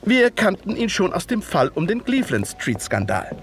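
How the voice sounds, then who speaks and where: Lively, modest Victorian doctor narrator. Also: male voice, sits high, normal speed. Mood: reflective narrating. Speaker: narrator and character. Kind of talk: audio drama narration with dialogue.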